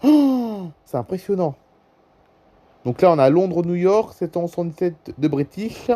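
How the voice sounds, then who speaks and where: A man's voice: sits high, animated, a little slow, warm tone. A man with a French accent giving casual, off-the-cuff commentary as a YouTuber, often repeating himself and prompting viewers to look. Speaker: YouTuber presenting a flight-tracking app.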